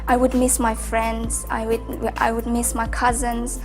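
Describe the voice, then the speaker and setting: The voice of a young Afghan-accented woman in a short migrant-story film, reflective and fairly high, sharing personal testimony over a musical score. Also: completely flat delivery, unhurried, soft background music. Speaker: university student telling their own story.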